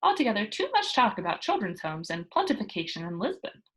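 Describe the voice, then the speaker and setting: A woman's voice: mid-range voice, sing-song, quick pace, husky. A woman voicing a cheeky, fearless, playful young girl from a storybook, with a breezy, teasing manner.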